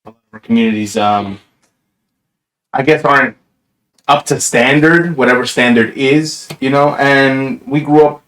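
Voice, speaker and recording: Reflective young man, mid-pitched voice. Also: a little slow, a bit bright, poor audio. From New Jersey, USA. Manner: thoughtful, reflective, with many "you know"s. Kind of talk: casual chat.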